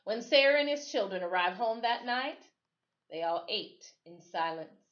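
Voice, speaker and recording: A woman's voice: medium pitch, animated, normal speed, a bit bright. Woman reading a storybook, slightly dull sound. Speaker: storytime reader. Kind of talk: expressive children's storybook read-aloud.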